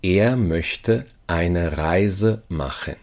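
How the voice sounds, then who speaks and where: A man with a low voice reads lesson phrases at a very slow, deliberate pace. He says each short sentence several times, giving the learner time to repeat it. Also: darkish tone. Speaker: language teacher.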